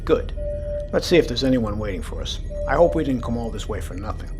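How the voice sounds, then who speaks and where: Man playing a steady submarine captain over music. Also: mid-range voice, a little fast, natural voice. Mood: measured, resolute. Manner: commanding, steady, reassuring. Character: calm, seasoned male submarine captain, quietly resolute. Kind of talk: audio drama acting.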